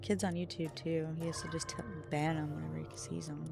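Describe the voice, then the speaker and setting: A woman's voice: low-pitched, flat delivery, brisk, creaky, music in the background. A woman VTuber streamer chatting casually with her chat, swearing often and poking fun at herself. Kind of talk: casual livestream chat, answering chat.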